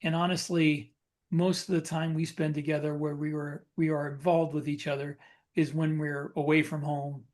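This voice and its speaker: A man's voice: fairly high pitch, level tone, normal speed, a little mellow. A man talking casually as a podcast host, informal and given to repeating points for emphasis.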